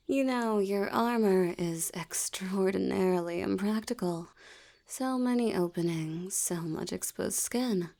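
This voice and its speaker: A woman's voice: mid-range voice, takes it slowly, creaky, pauses often, a little mellow. A woman voicing a seductive, teasing female vampire in an ASMR audio roleplay, often dropping into a whisper.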